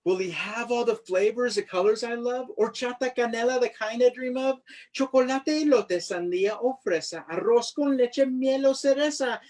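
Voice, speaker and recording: An author, perhaps in their thirties or forties, reads their own picture book aloud to children in an animated, expressive way, with asides. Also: mid-range voice, moderate pace. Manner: expressive, sing-song on refrains, commenting between lines.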